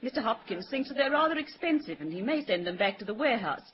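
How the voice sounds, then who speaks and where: A woman acting an eager young shop assistant in a vintage radio serial. Her voice is high and very animated, with polite, proper sales patter, heard on a muffled old recording. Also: fast talker, noisy background, lightly acted. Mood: polite, persuasive. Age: young adult. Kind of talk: 1930s Australian radio comedy serial acting.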